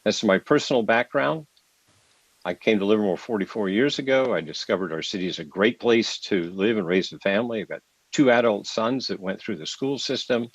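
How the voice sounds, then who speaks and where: An older man with a lowish voice delivers a formal, measured statement, pausing on small fillers. The sound is dry and a bit dull, taken from a home study over a video call. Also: no echo. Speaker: city council member and mayoral candidate. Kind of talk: prepared opening statement. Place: home study lined with bookshelves.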